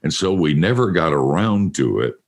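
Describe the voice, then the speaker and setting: An older man with a deep voice hosting a casual interview, joking and laughing at himself. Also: very animated, moderate pace. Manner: joking, self-mocking, laughs often. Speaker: radio show host.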